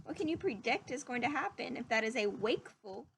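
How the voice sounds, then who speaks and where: A woman's voice: on the high side, sing-song, a little fast, fairly bright tone, a little hiss or hum. A woman reading a picture book aloud to young children like a teacher, drawing out phrases and putting questions to her listeners.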